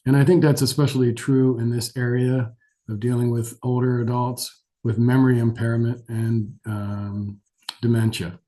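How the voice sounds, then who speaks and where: A man with a scratchy voice from a cold delivers a webinar lecture. His tone is mellow and soft-edged, at a middling pitch. He talks conversationally and somewhat hesitantly, in a self-deprecating way, as a doctor addressing learners. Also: little pitch movement, moderate pace. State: has a cold. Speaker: geriatric physician giving a lecture.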